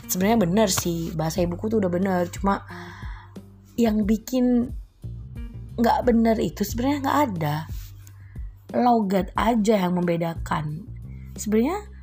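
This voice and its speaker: A woman's voice: medium pitch, normal speed, long pauses, dark tone. A woman talks alone into her podcast mic, in a mid-height voice that wanders and trips over itself. She giggles often and pokes fun at herself as she goes. Faint music sits under her voice. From East Java, Indonesia. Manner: giggly, rambling, self-deprecating. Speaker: housewife podcaster. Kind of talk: casual solo podcast monologue.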